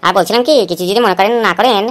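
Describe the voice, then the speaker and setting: A woman's voice: mid-range voice, racing, close to an everyday voice. A woman playing a cheeky office errand runner who is ingratiating and nosy.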